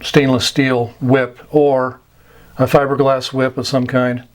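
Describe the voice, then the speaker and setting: A man teaches in an informal, explanatory style. His voice sits at a medium pitch, and he speaks slowly and deliberately. The delivery is halting, with long pauses between phrases. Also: flat delivery, a little hiss or hum. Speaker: instructor.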